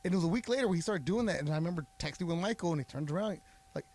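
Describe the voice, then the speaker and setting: A man's voice: on the high side, lively intonation, racing, a little mellow. A man co-hosting a sports talk radio show, explaining at length with a confident, conversational delivery. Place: radio studio. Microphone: studio mic.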